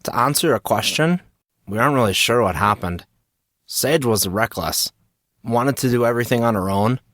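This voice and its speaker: An easygoing young man reminisces in a relaxed, mid-pitched voice, as a narrator puts him on. The sound is dry and close-miked, without any room echo. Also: moderate pace, close to an everyday voice. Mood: wistful.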